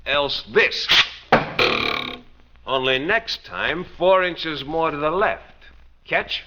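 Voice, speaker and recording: A cocky, unhinged villain from a 1940s radio play, taunting and theatrical. His voice is very sharp and rather high, swinging widely in pitch, on a slightly dull old recording. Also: animated, slow pace, a little hiss or hum, heavily acted. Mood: threatening. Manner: mocking, theatrical. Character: menacing, taunting male archer, cocky and unhinged. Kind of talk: 1940s radio drama acting.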